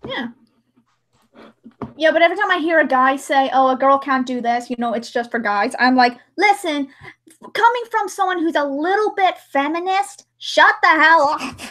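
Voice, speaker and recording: A woman's voice: sits high, lively intonation, normal speed, a bit bright. A woman chatting casually on a livestream in a playful, joking way. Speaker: livestream host editing a dramatic reading. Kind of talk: casual chat.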